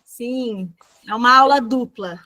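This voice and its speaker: A woman's voice: on the high side, takes it slowly, pushed and bright. A woman with a Brazilian Portuguese accent giving an online lecture as a dentist, explaining fluently and often checking in with tag questions.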